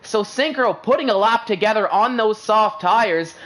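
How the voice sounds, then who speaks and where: A man's voice: sits high, moderate pace, a bit bright, poor audio. Chatty male sim racing commentator. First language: English. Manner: chatty, filler-heavy, upbeat. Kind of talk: live race commentary.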